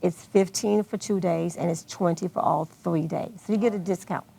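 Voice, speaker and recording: Low, gravelly American woman. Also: steady tone, normal speed, warm tone. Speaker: guest, arts festival organizer. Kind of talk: television interview, unscripted answers.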